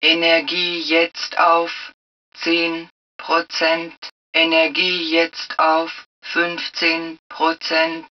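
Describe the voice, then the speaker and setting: A calm, low-voiced suit computer delivers automated game announcements very slowly. The phrasing is clipped, impersonal and measured. The sound is dull and somewhat removed from the microphone. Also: female voice, far from the mic.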